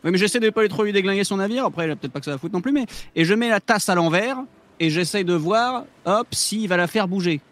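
A man's voice: sits high, fast, fairly bright tone. A man playing in a tabletop roleplaying game, rambling and hesitant, swearing casually and laughing often. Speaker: player. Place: home streaming setup.

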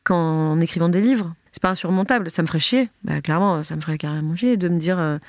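A woman's voice: fairly low pitch, fast talker, darkish tone, dry recording. A woman in her thirties with a stuffy head cold, chatting casually as a podcast guest, swearing casually and acting out other people's voices. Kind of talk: casual chat.

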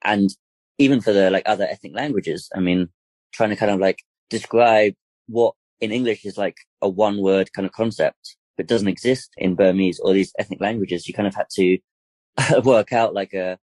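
A man's voice: on the low side, moderate pace, darkish tone, dry recording. A man speaking as a guest campaigner in a casual interview, rambling with lots of "like" and "kind of". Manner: rambling, full of fillers and restarts.

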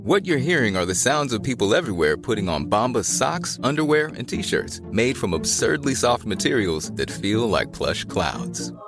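Animated man, playful ad voice-over. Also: mid-range voice, moderate pace, hardly any pauses, over music. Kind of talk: scripted, playful advertising read.

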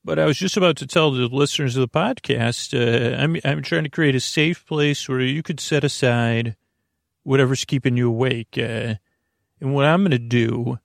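A man with a creaky voice hosting a sleep podcast, rambling through a goofy, self-deprecating bedtime monologue with halting repeats, drawn-out words and droning tangents. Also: medium pitch, no room sound, clean studio sound. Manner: meandering, halting, repetitive, with trailing tangents.

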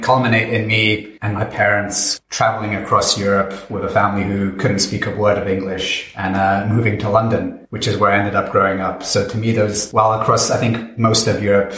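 A man's voice: lowish voice, fairly bright tone. A man of about thirty from Bosnia and Herzegovina, thoughtfully recounting his childhood as a panel guest. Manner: thoughtful, with frequent "kind of" and restarts. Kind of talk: reflective personal storytelling. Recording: roomy, echoey sound.

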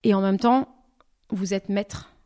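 A woman's voice: mid-range voice, steady tone, slow, mellow. Reflective French-speaking woman singer. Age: over twenty. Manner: thoughtful, often restarts and rephrases. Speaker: singer, interview guest. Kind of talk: reflective interview talk.

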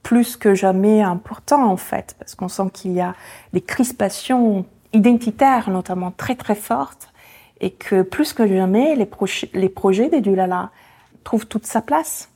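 A woman's voice: medium pitch, measured pace, mellow, slightly rough. A woman in her forties from Italy, speaking French with an Italian accent, thoughtfully answering interview questions with many hesitations. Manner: reflective, many hesitations with euh. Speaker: guest, founder of a language association. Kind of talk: conversational interview.

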